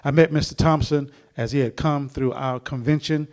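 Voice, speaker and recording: A middle-aged man hosting a church seminar, introducing the speaker in a rambling, preacherly way with many pauses. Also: mid-range voice, steady tone, a little mellow.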